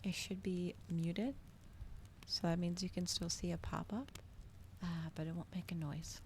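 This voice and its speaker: A woman with a soft, gentle voice that often slips toward a whisper, chatting casually and a little hesitantly to viewers as an ASMR livestream host. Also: on the low side, fairly even intonation, unhurried. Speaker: streamer hosting a live reading. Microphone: regular microphone, not ASMR-specific. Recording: audible background noise, fireplace ambience. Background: crackling fireplace ambience.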